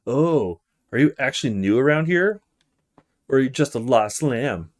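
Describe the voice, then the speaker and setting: A man's voice: medium pitch, lively intonation, moderate pace, natural voice. A man voicing a cocky, aggressive young male street thug in a visual novel, with a taunting, menacing delivery. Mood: cocky threatening. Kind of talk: visual novel character read-aloud.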